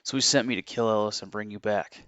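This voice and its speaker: A man's voice: lowish voice, fairly even intonation, quick pace, fairly bright tone, no echo, lightly acted. A man playing an earnest, haunted former assassin confessing his story in an audio drama. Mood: earnest, intense.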